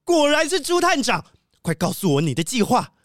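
Woman as a swooping, high, earnest wolfdog police chief. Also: very animated, moderate pace, no room sound, studio quality. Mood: dismayed. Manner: theatrical, scolding, emphatic. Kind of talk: children's audio drama acting.

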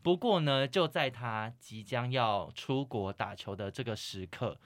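A woman's voice: very deep voice, unhurried. A woman co-hosting a podcast, chatting casually and retelling a film's story. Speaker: podcast co-host. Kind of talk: casual movie chat and plot retelling.

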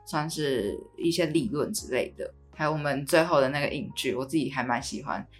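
A woman's voice: low-pitched, a little fast, faint music behind. A woman with a Taiwanese Mandarin accent hosting a podcast in a casual, conversational way. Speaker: podcast host.

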